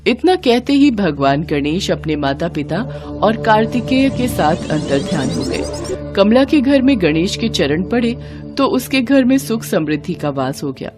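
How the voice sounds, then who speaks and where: A woman's voice: mid-range voice, big pitch swings, unhurried, over music. A woman narrator reading a scripted devotional moral story.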